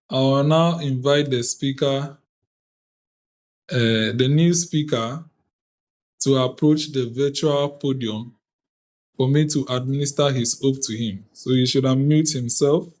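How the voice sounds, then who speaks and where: A man from Ghana with a Ghanaian accent, convening an online youth parliament on a video call in a deliberate, formal way. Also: on the high side, darkish tone. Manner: deliberate, measured, reads out lists of names. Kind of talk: formal ceremonial address.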